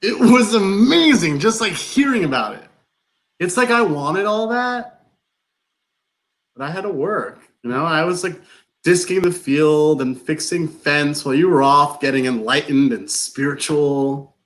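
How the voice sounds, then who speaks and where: A man playing a frustrated, incredulous novice farmer in a live stage play reading, with halting, colloquial delivery. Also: a little high, expressive, a bit bright. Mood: envious, wistful.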